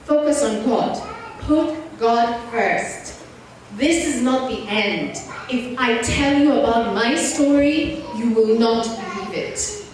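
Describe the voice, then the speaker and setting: Lady speaking at church, storytelling style, talks right to the audience, super echoey, kinda far from the mic. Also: sits high. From born in Nairobi, Kenya, partly raised abroad. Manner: conversational storytelling, addresses listeners directly. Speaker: guest speaker and mentor. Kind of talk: motivational talk to young people at church. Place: large echoey indoor church space. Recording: very echoey hall, distant mic, faint noise.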